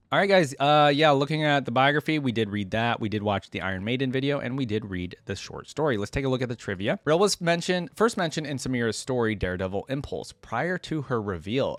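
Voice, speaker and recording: A man's voice: medium pitch, no echo. A man hosting a reaction video, reading lore aloud and adding informal, conversational commentary.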